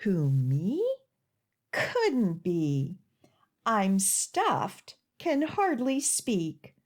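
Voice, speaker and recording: A woman's voice: medium pitch, wildly swooping pitch, drawn-out words, a little mellow, full character voice. Woman as a sluggish, overfed storybook snake. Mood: stuffed, sluggish.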